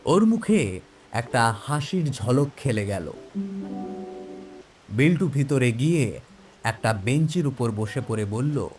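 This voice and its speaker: A man's voice: medium pitch, expressive, slow, long pauses, a little mellow, faint music behind. A man narrating a scripted audio story in the role of narrator.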